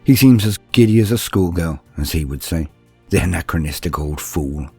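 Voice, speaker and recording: A man narrating a scripted dramatic story in the first person, measured and pausing mid-sentence. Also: sits low, animated, dark tone, husky, no room sound, soft background music.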